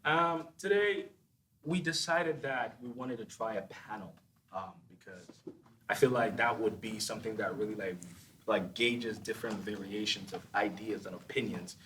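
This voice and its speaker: A man's voice: lowish voice, moderate pace, sharp tone, a little hiss or hum. A man hosting a casual podcast panel, talking loosely with lots of fillers and trailing asides. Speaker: podcast host.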